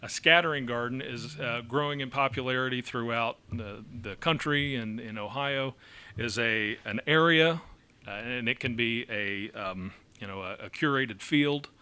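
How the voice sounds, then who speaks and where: A man's voice: medium pitch, moderate pace, pushed and bright, clear, resonant tone. A man presenting an ordinance at a city council meeting, explaining it with many fillers and an occasional chuckle. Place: council chamber.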